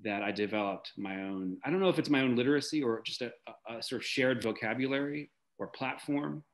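A middle-aged man giving reflective interview answers in a searching way, pausing often mid-thought on a video call. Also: mid-range voice. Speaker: interview guest, theater director. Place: indoor room at home, video call.

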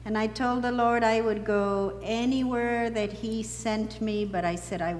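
A middle-aged woman from Costa Rica shares personal testimony at an unhurried, slow pace. The reverb of a church sanctuary surrounds her voice, with faint noise underneath. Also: fairly high pitch, fairly even intonation. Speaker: missionary guest. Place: large church sanctuary, seated on stage.

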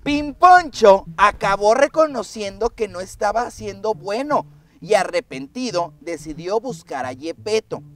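A woman with a Mexican accent telling a children's story in an expressive, playful, emphatic way. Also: mid-range voice, a little slow. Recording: music behind the voice.

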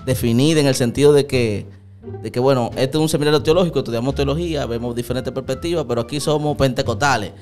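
A man's voice: mid-range voice, quick pace, a little mellow, soft background music. A young man hosting a podcast from a small studio, teasing and hyping his guest in casual Spanish. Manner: playful, hypey, teasing. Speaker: podcast host. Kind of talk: casual interview. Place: small studio with purple lights. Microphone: studio mic on stand, headphones.

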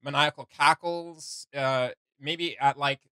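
A man's voice: on the high side, fairly even intonation, slow pace, bright, forceful tone, clean tone, dry recording. A young man giving casual reaction commentary as a video host. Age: young adult. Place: small indoor room with gaming setup.

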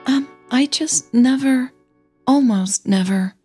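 A woman's voice: high voice, takes it slowly, a little mellow, husky, faint music behind, pitched above the performer's own voice, exaggerated voice. A woman voicing a nervous, shy young female singer with a hesitant manner in an audio drama.

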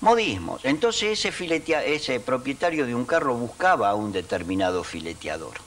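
A man's voice: mid-range voice, big pitch swings, normal speed, a bit bright, distant mic, faint music behind. An older man with a Rioplatense accent recounting his trade as a sign painter in an interview, with a handheld mic. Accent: Rioplatense Spanish. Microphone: handheld mic held by interviewer.

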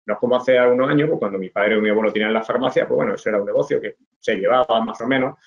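A middle-aged man from Spain walks students through his slides in a relaxed, halting way, often pausing on fillers and restarting phrases. His voice comes through a webcam with a slightly dull, lo-fi sound. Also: medium pitch, gravelly. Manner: hesitant, many fillers and restarts, explaining to students. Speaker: pharmacist giving a webinar talk. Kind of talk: informal online presentation over slides. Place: indoors at a desk. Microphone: webcam video call.

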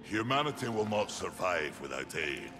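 An actor playing a huge, weary warrior blacksmith in a video game, grave and deliberate. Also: male voice, low-pitched, big pitch swings, noisy background. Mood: earnest, pleading. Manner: grave, deliberate, archaic phrasing. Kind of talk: video game character acting. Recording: loud game music underneath.

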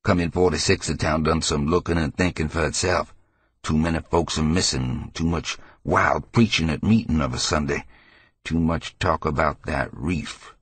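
Husky creaky old new england coot, spooked and rambling, audiobook horror tale, close dry sound. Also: male voice, extremely low pitch, fairly even intonation, normal speed, a bit bright, no room sound, full character voice. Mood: conspiratorial. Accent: rural New England. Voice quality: gravelly, husky old man's rasp. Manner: rambling folksy dialect, cackling and fearful. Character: hysterical, frightened old New England drunkard telling dark secrets. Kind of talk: dramatized audiobook character voice.

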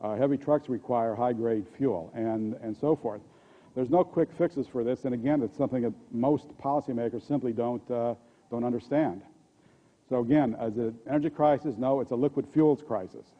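An older man with an American accent gives a conference keynote at a podium microphone, speaking haltingly and often repeating words and restarting. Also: mid-range voice. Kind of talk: conference presentation. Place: conference hall stage at a podium. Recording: dull, muffled lo-fi audio.

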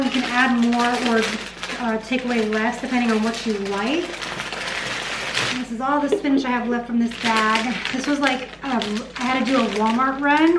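Woman late 30s doing casual kitchen vlog chat, kinda high voice, roomy echo. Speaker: lifestyle vlogger. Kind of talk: casual vlog chat while cooking, answering viewer questions. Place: home kitchen, indoors. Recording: echoey kitchen with clear background noise.

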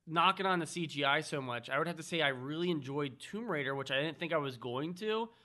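Earnest man with a bright voice. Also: fairly high pitch, fairly quick. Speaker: podcast co-host. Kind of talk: casual chat.

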